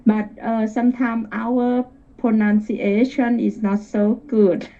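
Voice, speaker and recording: A woman's voice: a little high, level tone, takes it slowly, dark tone, muffled recording. A woman with a non-native English accent speaking as a caller, hesitant and searching for her words, over an internet call. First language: not English. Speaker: caller, air traffic controller. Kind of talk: casual conversation in learner English. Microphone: internet video call.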